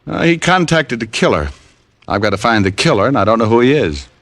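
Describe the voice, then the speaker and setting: An actor playing a cocky, wisecracking private detective with a wry, sardonic manner in old-time radio style. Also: male voice, medium pitch, very animated, a little fast, fairly bright tone, no echo. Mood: businesslike. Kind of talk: 1940s-50s radio detective acting and first-person narration. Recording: old radio recording with music.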